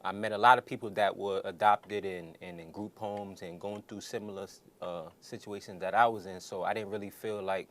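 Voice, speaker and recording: This is a man from New York City with a fairly low voice. He talks as a guest in an interview, giving earnest answers in a conversational way. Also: fairly even intonation, normal speed, hardly any pauses. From New York City, born in the Bronx, raised in Queens. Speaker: interview guest, nonprofit founder.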